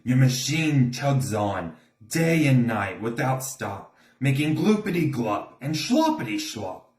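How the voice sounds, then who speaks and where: A man voicing a cranky, scolding old male creature from a storybook, croaky and indignant. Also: medium pitch, very animated, slow, a little mellow, far from the mic. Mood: scolding, snappy. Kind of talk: storybook character reading. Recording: echoey room.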